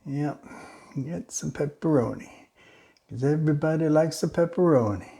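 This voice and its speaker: A man hosting a home cooking video, chatty and relaxed, talking through each step of a simple recipe. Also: on the high side, normal speed, vocal fry. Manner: relaxed and chatty, slips into a joking mock-Italian accent. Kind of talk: casual cooking demonstration.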